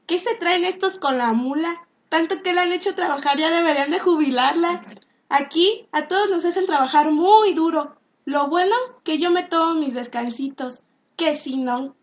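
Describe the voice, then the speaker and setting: A woman acts a sassy radio soap housemaid with a very high voice. She is blunt and cheeky, grumbles about hard work and talks back in colloquial speech. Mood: grumbling. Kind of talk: Spanish-language radio soap acting.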